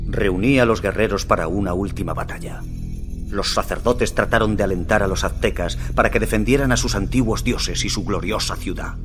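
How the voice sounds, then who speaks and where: An actor playing a solemn Aztec emperor in a game cutscene, telling of war in grave, measured Castilian Spanish. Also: male voice, sits low. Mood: resolute. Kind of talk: scripted dramatic game cutscene narration.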